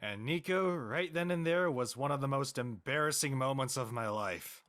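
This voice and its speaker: A man's voice: medium pitch, normal speed, a bit bright, natural voice. A man playing an awkward, easily embarrassed teenage boy with a nervous, stammering delivery in a casual audio drama. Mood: embarrassed recounting.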